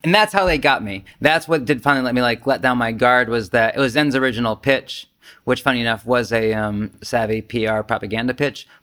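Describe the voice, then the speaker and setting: A man's voice: medium pitch, a little fast, bright, forceful tone. A man leading a casual group discussion as a Zen teacher, speaking candidly and self-deprecatingly, with swearing and imagined quotes.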